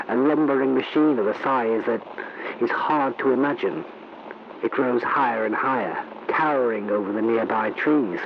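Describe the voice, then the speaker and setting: A man's voice: on the low side, lightly acted. An actor playing a grave, shaken male war reporter giving a solemn, formal eyewitness account in a 1930s radio broadcast style. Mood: awed, haunted. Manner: solemn, deliberate, formal narration. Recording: noisy, muffled vintage radio recording.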